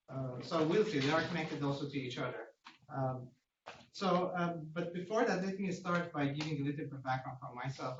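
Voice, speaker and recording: A man from Iran with an Iranian accent, giving an academic seminar talk with halting, hesitant phrasing. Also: fairly high pitch. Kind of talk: academic lecture. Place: indoor seminar room at a lectern. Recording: echoey room, distant mic, dull noisy feed.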